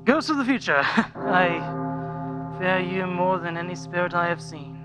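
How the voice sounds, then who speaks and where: A man's voice: high voice, animated, measured pace. Man acting a repentant old miser, music behind. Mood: fearful, resolute. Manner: earnest, pleading, old-fashioned formal delivery. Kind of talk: radio play acting of a Victorian story. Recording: loud music under the voice.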